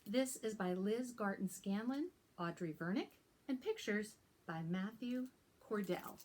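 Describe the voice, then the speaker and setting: A woman hosting a children's storytime as a librarian, reading aloud and talking to young listeners in simple, deliberate phrases. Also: mid-range voice, animated, a little mellow.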